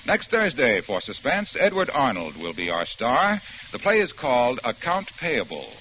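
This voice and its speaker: Man, old-time radio announcer, brisk salesy read, lively pitch, dull broadcast sound. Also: a little hiss or hum.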